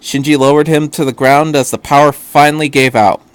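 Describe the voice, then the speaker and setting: A man's voice: on the high side, fairly even intonation, a little fast, smooth, dry recording. A man reading fan fiction aloud as an amateur narrator of a scripted story.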